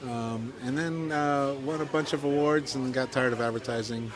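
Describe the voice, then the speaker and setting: A man's voice: mid-range voice, steady tone, normal speed, a crowd in the background, rough recording. A middle-aged man with a Midwestern accent, telling his career story in a casual podcast chat, full of fillers and self-deprecating asides. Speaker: podcast co-host.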